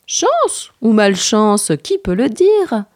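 Unflappable philosopher bear from a picture book played by a woman, measured and musing, with expressive swings. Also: fairly high pitch, voice pushed up. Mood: serene. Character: serene, philosophical male storybook bear, unshakeably calm.

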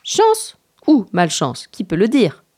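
Woman voicing a serene, slow-musing storybook bear. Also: fairly high pitch, very animated, a little mellow, pitched above the performer's own voice. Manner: measured, drawn-out musing. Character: serene, philosophical male storybook bear, unshakeably calm.

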